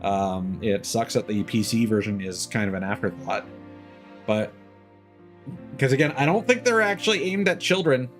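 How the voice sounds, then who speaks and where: A man's voice: fairly low pitch, expressive, normal speed, soft background music. A man in his thirties chatting casually on a game livestream, rambling and opinionated as he talks. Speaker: game streamer.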